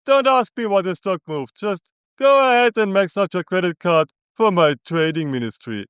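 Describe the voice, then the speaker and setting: A man with a German accent mimicking a rambling, dim-witted, folksy male trading guru with a halting drawl full of "uh"s. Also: sits high, lively intonation, a bit bright, smooth, dry recording, studio quality. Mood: mocking.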